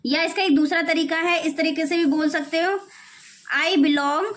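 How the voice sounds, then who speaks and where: A woman teaching spoken English to children, slowing right down to model each phrase and often checking in with "okay, children.". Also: very high-pitched, steady tone, a bit bright. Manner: slows down sharply to model English phrases word by word; repeats phrases and keeps saying "okay, children". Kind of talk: classroom-style lesson in Hindi.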